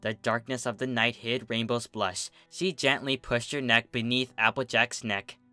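A man's voice: medium pitch, fairly even intonation, normal speed, sharp tone, dry recording, soft background music. A man reading a story aloud as scripted narration. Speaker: fan fiction reader.

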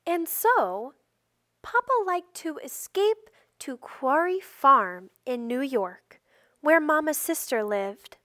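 A woman reading a children's picture book aloud with careful, expressive storytelling delivery. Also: sits high, takes it slowly, no echo, studio quality. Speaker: storybook reader.

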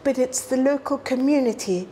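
A middle-aged woman who grew up in London, explaining environmental work in a relaxed sit-down interview, earnest and measured. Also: high-pitched, slight background noise. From South Africa, raised in London. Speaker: environmental scientist, interview guest. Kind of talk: conversational interview. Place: hotel lounge, seated on a sofa indoors.

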